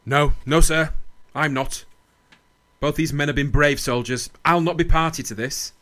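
A man playing a loyal, principled army captain in a radio play, earnest, measured and firm. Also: a little high, fairly even intonation, a bit bright. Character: loyal, principled British army captain defending young soldiers. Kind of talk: radio drama acting and narration.

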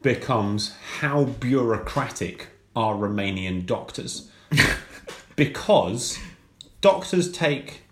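A man's voice: medium pitch, expressive, slow pace, reverberant. A man co-hosting a comedy podcast, retelling an odd news story with laughter and acted-out phone calls. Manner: laughs often, drawls and acts out phone calls. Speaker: podcast co-host.

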